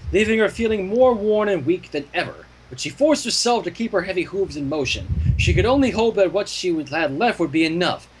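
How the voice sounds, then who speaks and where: A man reading fan fiction aloud as an expressive narrator, with casual asides. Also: sits high, slight background noise, poor audio.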